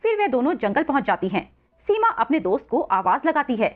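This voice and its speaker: A woman's voice: high-pitched, sing-song, fairly quick. A woman narrating a scripted children's story as storyteller, on a narrow phone-like recording.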